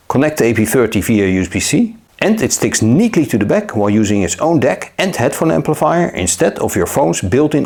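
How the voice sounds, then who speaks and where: A man narrating a scripted gadget review in a measured, upbeat way. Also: medium pitch, creaky, a little mellow. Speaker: tech reviewer.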